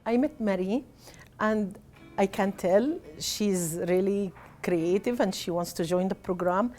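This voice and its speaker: A woman's voice: mid-range voice, a little slow, mellow, faint music behind. A woman giving an account of someone she met, speaking as an interviewee in a documentary.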